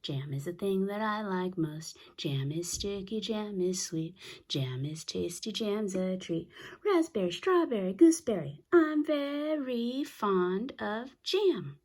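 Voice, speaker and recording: A woman's voice: mid-range voice, big pitch swings, takes it slowly, darkish tone, pitched above the performer's own voice. A chatty little girl from a storybook, as a woman reader acts her out. She is fussy and fond of jam, speaking in a childlike, particular way. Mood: singsong. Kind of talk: children's storybook read-aloud.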